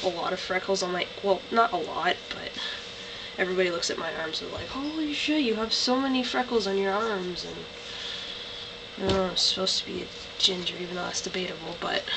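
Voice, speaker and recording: A young woman with a Canadian accent talks casually to her webcam, her voice a little lower from tiredness. She rambles and fills pauses with "like" and "um". There is clear background noise in the recording. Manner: chatty, rambling, lots of "like" and "um". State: tired, voice lower than usual. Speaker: vlogger. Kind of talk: casual accent-tag vlog. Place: bedroom. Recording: noisy home webcam recording.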